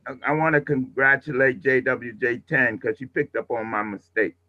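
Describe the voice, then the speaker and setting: A man's voice: mid-range voice, steady tone, normal speed. A man coaching a radio class informally, explaining and correcting himself as he goes, heard through dull remote audio.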